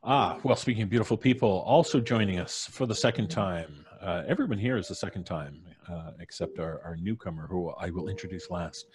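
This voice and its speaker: A man's voice: deep voice, brisk, hardly any pauses, darkish tone. A middle-aged man with a North American accent hosting a live stream and introducing guests with effusive, showman-like flourish. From Canada. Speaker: podcast host. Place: small room, indoors. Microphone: studio microphone on boom arm, headphones.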